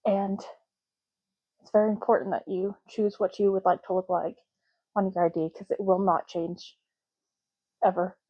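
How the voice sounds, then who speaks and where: A woman speaking softly in an ASMR roleplay, hushed, unhurried and gently explanatory, close to the microphone. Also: medium pitch, no room sound, dull audio. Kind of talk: soft-spoken ASMR roleplay.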